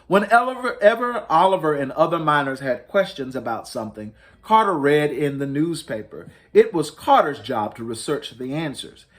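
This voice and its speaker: A man reads a children's story aloud with highly varied, lively intonation. His voice sits a little high, and he places emphasis deliberately, like a host telling a tale to young listeners. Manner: deliberate, emphatic storytelling with dramatic rises and falls. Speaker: host reading stories to children. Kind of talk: expressive children's storybook reading.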